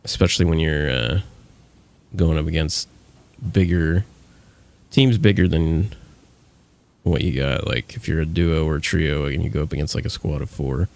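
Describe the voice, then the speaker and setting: A man's voice: rumbling low, fairly even intonation, normal speed, gravelly, pauses often, a little mellow. A man streaming a survival game, talking casually and rambling with frequent restarts. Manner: rambling, lots of fillers and restarts. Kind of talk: casual gaming chat.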